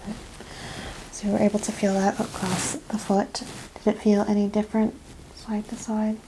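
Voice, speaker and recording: Woman, medium-pitched with vocal fry, soft clinical ASMR delivery that slips into whispers, noisy background. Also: little pitch movement, normal speed. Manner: soft-spoken, often dropping to whispers, instructing step by step. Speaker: ASMR performer playing a medical examiner. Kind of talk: ASMR clinical exam roleplay. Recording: clear background noise and ambience.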